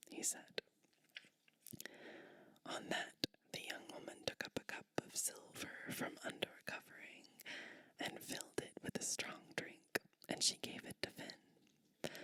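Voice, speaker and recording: A woman whispering ASMR storytelling and bedtime roleplay in a gentle, soothing manner. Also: no echo. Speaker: ASMR host playing a sleep witch.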